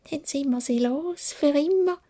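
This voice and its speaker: Woman acting a jealous wicked sister, raised pitch, breathy half-whisper, conspiratorial, sly, Swiss German accent, storybook. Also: a little slow, mellow. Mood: scheming, gleeful. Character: two envious scheming older sisters, sly and gleeful.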